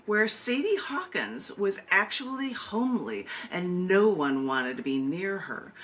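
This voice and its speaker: A woman hosting a virtual open mic, reading her poems and introducing them casually, with deliberate, emphatic phrasing and drawn-out pauses. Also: on the low side, fairly bright tone. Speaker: open mic host and poet. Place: virtual open mic over video call.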